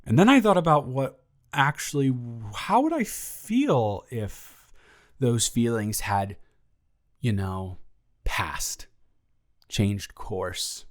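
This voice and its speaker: Man acting as flustered, stammering goofball roommate. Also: medium pitch. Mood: earnest, anxious. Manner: halting, stammering, animated, jokey. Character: flustered goofball roommate confessing love to his friend. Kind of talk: improvised audio roleplay, rambling casual storytelling.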